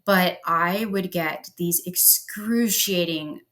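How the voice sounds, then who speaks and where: Woman explainer, lowish pitch, bright tone, chatty lecture style with fillers, recorded at home. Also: slow pace. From Pennsylvania, US. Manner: casual and conversational, with frequent ums and likes. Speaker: health educator. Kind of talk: explanatory health lecture over slides.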